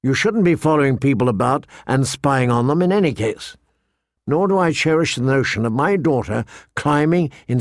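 A man plays a noble lord and father in an audiobook. He is formal and measured, stern yet fond, in a mid-pitched voice with a very dry, close sound. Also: no echo, lightly acted. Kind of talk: audiobook character dialogue.

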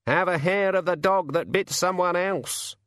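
A man's voice: sits high, unhurried, a bit bright, no echo, studio quality, pitched above the performer's own voice. A man voicing a wry, gossipy talking raven who makes sardonic asides.

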